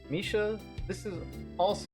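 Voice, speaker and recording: A man reading a visual novel aloud on a stream, narrating haltingly and often repeating words as he goes. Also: sits high, flat delivery. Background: music.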